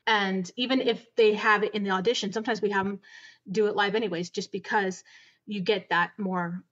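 A woman's voice: medium pitch, fairly even intonation, a little fast, fairly bright tone. Woman producer talking it through, kinda hesitant and modest, muffled lo-fi audio. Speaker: audio drama producer, director and writer. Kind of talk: casual interview chat.

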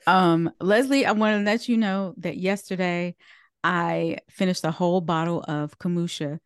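Bubbly low-voiced woman podcast host. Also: fairly even intonation, moderate pace, clear, resonant tone, no room sound, studio quality. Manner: bubbly, laughing, exclaiming. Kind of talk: casual podcast chat.

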